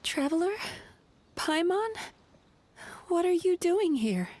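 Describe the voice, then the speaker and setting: An actress playing a gentle, composed young woman in a video game, speaking in a measured, polite way. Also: very high-pitched, animated, heavily acted. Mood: surprised. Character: gentle young female dream-eater therapist, composed, soft-hearted. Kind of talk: animated video game voice acting.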